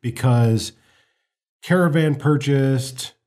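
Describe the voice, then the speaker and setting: An American man talks casually about film as a co-host. His voice is soft-edged and dark, sitting at an average pitch. He explains haltingly, wandering as he goes. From United States. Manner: hesitant, rambling explanations.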